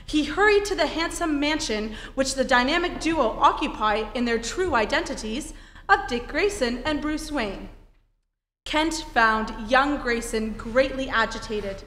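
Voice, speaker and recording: A woman's voice: sits high, sharp tone. A woman narrating an old-time radio adventure serial in an emphatic, theatrical announcer style. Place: theatre stage, live performance. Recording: echoey hall, long reverb.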